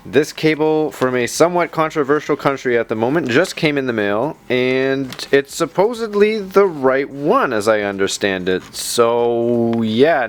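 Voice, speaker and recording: A man hosting a tech video in a casual, chatty way, with rambling, self-deprecating asides. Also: mid-range voice. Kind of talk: casual vlog narration.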